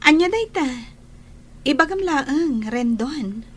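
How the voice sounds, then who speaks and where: A woman's voice: high-pitched, animated, takes it slowly. A woman playing a tender young unmarried woman being courted, in Ilocano radio drama style with an Ilocano Filipino accent. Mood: tender, loving.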